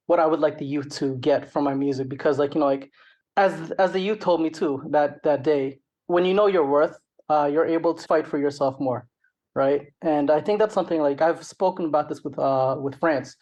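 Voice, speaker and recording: A man's voice: on the high side, moderate pace, darkish tone. A middle-aged man with a Canadian accent talking casually as an interview guest, rambling with many "like" and "you know" asides. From Toronto, Canada. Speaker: interview guest, rapper and songwriter.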